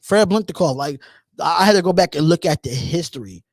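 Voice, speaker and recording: A man in his thirties hosting a live call-in show on his own, talking casually, repeating phrases and pausing on drawn-out ums while he reads out chat comments. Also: a little high, animated, no room sound, studio quality. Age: 30s. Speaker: host.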